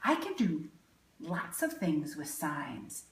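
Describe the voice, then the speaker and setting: A woman's voice: low-pitched, huge pitch swings, slow, roomy sound, distant mic, a little hiss or hum, voice pushed down, exaggerated voice. A woman reading a storybook, voicing a mischievous little boy apprentice who muses and schemes to himself. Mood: scheming.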